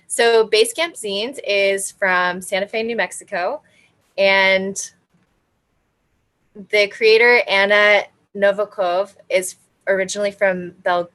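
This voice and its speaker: A woman's voice: medium pitch, steady tone, slow pace, a bit bright. A woman hosting an online zine festival, introducing presenters in an upbeat way and reading their bios aloud. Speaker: festival event host.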